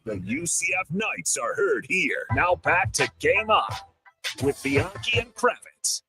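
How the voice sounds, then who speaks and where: Bright, punchy male station announcer. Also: sits high, sing-song, unhurried, soft background music. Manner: punchy promo delivery. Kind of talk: scripted station imaging.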